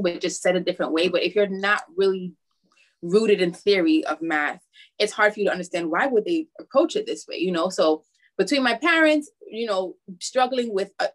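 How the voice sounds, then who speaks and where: Woman from harlem, math teacher, lowish voice, candid, lots of ums, casual interview. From Harlem, New York. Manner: candid, with hesitant fillers and soft laughs.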